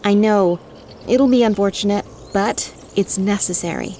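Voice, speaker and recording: A woman's voice: mid-range voice, a little slow, mellow, slight background noise, lightly acted. A woman playing a practical, affectionate wife and mother in a fantasy audio drama, with a matter-of-fact delivery. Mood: resigned.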